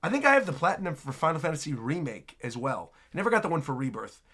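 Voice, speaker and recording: A man hosting a casual, enthusiastic game reaction, excitable and prone to repeating phrases. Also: a little high, quick pace. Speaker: reaction video host. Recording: music playing behind the voice.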